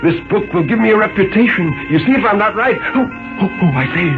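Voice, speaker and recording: An ardent minor poet, played by a man gushes about himself in a high, excitable, exclamatory voice. It swings widely in pitch and comes through a narrow, old radio sound. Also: a little fast. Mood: excited, boastful. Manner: gushing, exclamatory. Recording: muffled vintage radio broadcast, music behind.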